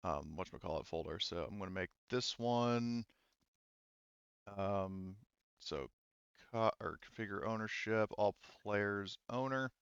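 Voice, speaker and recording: A man casually narrating and refereeing a tabletop roleplaying game as game master, halting and loosely profane as he improvises. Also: fairly low pitch, vocal fry, fairly bright tone, clean tone, no room sound, dull audio.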